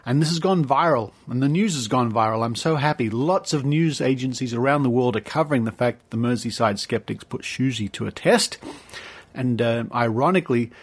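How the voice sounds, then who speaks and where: A man's voice: medium pitch, husky. Australian man hosting a chatty podcast. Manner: chatty, playful, with rhetorical asides. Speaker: podcast host. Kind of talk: informal podcast introduction and announcements.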